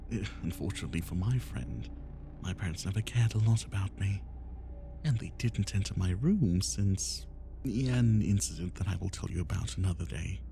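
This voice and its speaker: Creaky, breathy obsessive kidnapper played by a man. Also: lowish voice, dark tone, heavily acted. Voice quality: breathy, often dropping into whispers. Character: obsessive, lovesick, menacing yandere kidnapper man, self-pitying. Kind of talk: roleplay ASMR monologue, dramatic and intimate.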